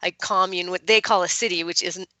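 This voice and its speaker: A woman's voice: fairly low pitch, fairly even intonation, fairly quick, sharp tone, no room sound. A woman talking casually as an author being interviewed, informal and peppered with "like".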